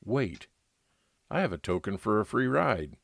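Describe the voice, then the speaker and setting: Noir radio lead: wry, weary male detective, played by a man, low voice, dry close sound. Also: sing-song, a little slow, gravelly, no echo, heavily acted. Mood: puzzled, brooding. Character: world-weary, wry male private investigator narrating his case. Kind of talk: old-time radio noir narration and dialogue.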